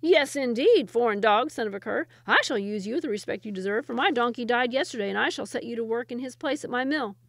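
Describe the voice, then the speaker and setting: A woman's voice: a little high, expressive, fast talker, hardly any pauses, a bit bright, no room sound. A woman doing a gloating, cruel miller. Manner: mocking, boisterous. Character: gloating, cruel male mill owner.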